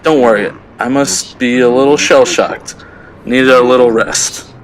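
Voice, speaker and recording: A man's voice: medium pitch, steady tone, measured pace, crowd noise, close to an everyday voice, rough recording. A man playing an uneasy, brooding teenage superhero in an audio drama, with a casual, hesitant way of talking. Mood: shaken.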